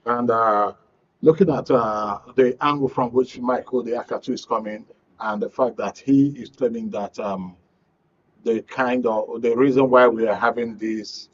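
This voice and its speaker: A man's voice: mid-range voice, slight background noise. A man with a Nigerian accent moderating a live political panel, feeling his way hesitantly through long questions. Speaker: talk show host, moderator. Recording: dull lo-fi broadcast audio.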